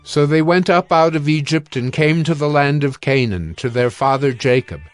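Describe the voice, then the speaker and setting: A man narrating scripture in a measured, solemn reading. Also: fairly high pitch, clear, resonant tone, faint music behind.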